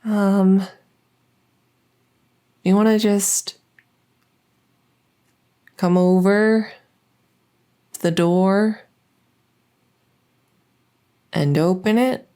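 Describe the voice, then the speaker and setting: A woman's voice: mid-range voice, steady tone, very slow, pauses often, mellow. A woman playing a shy, socially awkward vampire in an ASMR roleplay, speaking hesitantly with anxious stammers. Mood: awkward, tentative.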